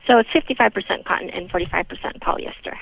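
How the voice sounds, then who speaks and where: A woman receptionist heard down a narrow, muffled telephone line. Her voice has a clear creak, and she explains things patiently with some hesitation. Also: lowish voice, quick pace. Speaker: karate school receptionist. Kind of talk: explaining classes on a phone call.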